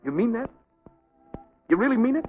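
An actor playing an earnest, quick-tempered young American boxer in love, with plain working-class speech in an old film drama. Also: male voice, very high voice, big pitch swings, slightly rough, phone-quality sound, soft background music, exaggerated voice. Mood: hopeful.

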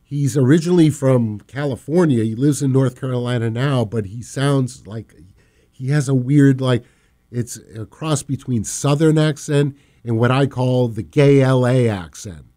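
A man from Massachusetts co-hosting a radio show, rambling casually, trailing off and often clearing his throat. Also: mid-range voice, measured pace, warm tone. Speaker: radio co-host. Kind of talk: casual talk radio banter.

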